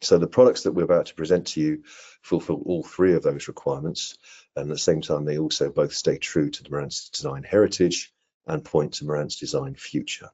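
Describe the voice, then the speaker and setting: Very deep, husky British man. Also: brisk, mellow. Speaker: product marketing director presenting. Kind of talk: prepared product presentation. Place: indoor room on a video call. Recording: dull, lo-fi video call audio.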